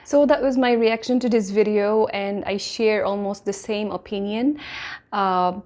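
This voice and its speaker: Casting: woman, Arabic speaker, mid-pitched, slow and measured reaction host. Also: steady tone, a little mellow. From Morocco. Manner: measured, thoughtful, frequent "uh" pauses. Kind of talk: reaction commentary.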